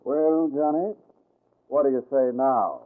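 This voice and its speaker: An actor playing a confident, dismissive police inspector, assertive and matter-of-fact, in an old-time radio crime drama. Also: male voice, mid-range voice, slow pace, natural voice. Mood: dry. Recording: muffled, narrow-band vintage radio recording.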